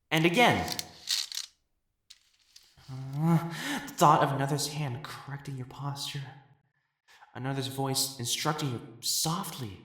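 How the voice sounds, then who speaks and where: A man playing an elegant, proper matchmaker in a dramatic audio roleplay, speaking formally and haltingly as he hides his jealousy. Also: medium pitch, fairly bright tone, husky, exaggerated voice. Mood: conflicted, denying. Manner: formal, halting, stammering when flustered.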